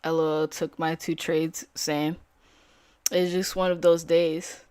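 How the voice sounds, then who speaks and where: Young woman, lowish voice, laid-back streamer talk, dry close sound. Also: flat delivery, slow, darkish tone, clear, resonant tone, no room sound. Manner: casual, filler-heavy, slangy. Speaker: day trader streaming live. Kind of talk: casual live-stream chat.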